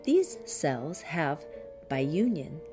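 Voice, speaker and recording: A woman reading a spiritual commentary as an audiobook, in a measured, deliberate manner with frequent pauses. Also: low voice, big pitch swings, music in the background.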